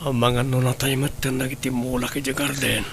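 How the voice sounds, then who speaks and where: A man's voice: medium pitch, level tone, brisk, gravelly, slight background noise, close to an everyday voice. A man playing a husband in a troubled household audio drama. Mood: weary, worried. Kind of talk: Ilocano audio drama acting.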